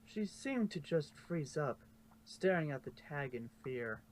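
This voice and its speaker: A man's voice: fairly high pitch, lively intonation, unhurried. A man reading a story aloud in an informal way, stumbling and correcting himself now and then. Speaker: fanfiction narrator. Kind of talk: storybook narration read aloud. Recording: noisy home recording.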